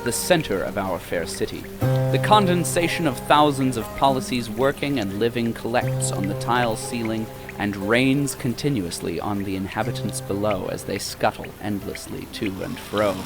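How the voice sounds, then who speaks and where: A man's voice: lowish voice, gravelly. A man playing the narrator of a grimy dystopian audio drama, speaking in a theatrical, ornate, solemn way. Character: storyteller of a grimy dystopian city. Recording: music bed behind the voice.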